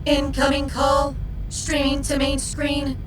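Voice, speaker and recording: A woman voicing a starship computer that makes clipped, flat announcements in a sci-fi audio drama. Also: sits high, takes it slowly. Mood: neutral. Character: calm female starship computer. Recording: ship ambience and hum behind.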